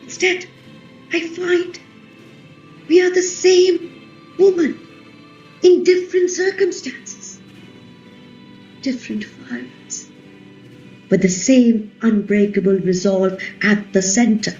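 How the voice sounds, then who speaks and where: Woman acting a proud vengeful Indian epic queen, slightly high voice, roomy muffled recording, radio play, emphatic. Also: fairly even intonation, dark tone, soft background music. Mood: wondering. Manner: slow, declamatory, emphatic. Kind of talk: dramatic play reading, radio play.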